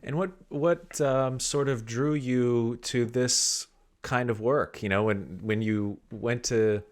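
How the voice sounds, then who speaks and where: A man's voice: medium pitch, takes it slowly. A man hosting a live interview over a video call, asking loose, rambling questions with many fillers. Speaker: host.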